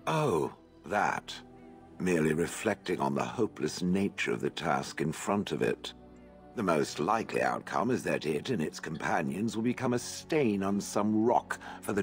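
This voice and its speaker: An actor playing a haughty, drily gloomy stone golem in a video game, deadpan and condescending. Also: male voice, deep voice.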